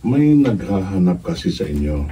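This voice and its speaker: Very mellow, kindly male stranger. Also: low-pitched, very animated, moderate pace, breathy edge, recorded from a distance, heavily acted. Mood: polite. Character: polite, kindly male stranger, sentimental and friendly. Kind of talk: Filipino radio drama acting.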